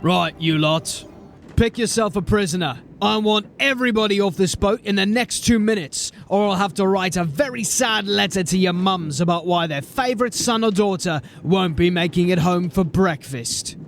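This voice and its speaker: A wry, weary watch sergeant. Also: male voice, high-pitched, moderate pace, a little hiss or hum. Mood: commanding. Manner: dry, wry, commanding. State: tired. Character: weary, dry-witted watch sergeant, middle-aged man. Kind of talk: audio drama acting.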